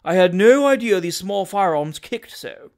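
A man voicing a self-satisfied but easily alarmed amateur gentleman sportsman in a storybook reading. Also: sits high, lively intonation, a little slow. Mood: complaining. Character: pompous, timid, proud amateur gentleman sportsman.

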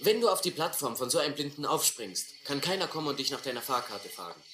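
Scrappy young drifter, lively intonation. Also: male voice, medium pitch, quick pace. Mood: cool, instructing. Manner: blunt, slangy. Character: tough, scrappy young male drifter, streetwise. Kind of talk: German radio play acting. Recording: roomy, noisy old radio play.

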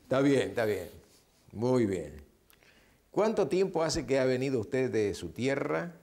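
A man's voice: medium pitch, very animated, a little slow, gravelly, darkish tone. An older man with an Argentine Spanish accent hosting a TV matchmaking show, coaxing and playful, with short repeated questions. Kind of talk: studio interview and address to camera. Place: TV studio set. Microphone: lapel.

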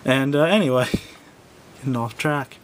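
Male reviewer, medium pitch, casual and unscripted, halting and self-correcting, home recording with slight rumbling noise. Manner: hesitant, rambling, self-correcting. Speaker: product reviewer. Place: indoors at a wooden table.

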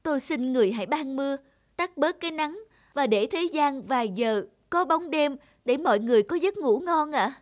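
A woman's voice: high-pitched, animated, normal speed, no room sound, clean studio sound. A woman playing a selfless, worn-out peasant mother in a Vietnamese cartoon fairy tale, pleading and tender.

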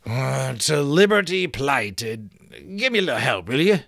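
Hot-tempered giant brigand, performed by a male reader: rustic, blustery, pushed up in pitch, dry close recording. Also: sing-song, a little slow, vocal fry, pushed and bright, no echo, full character voice. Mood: muddled. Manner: blustering, old-fashioned rustic turns of speech.

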